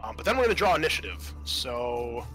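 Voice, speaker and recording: A man with a bright, mid-pitched voice acts as game master for a tabletop roleplaying game. He explains scenes and rules in a halting, improvised flow. Faint music plays behind him. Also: sing-song, poor audio.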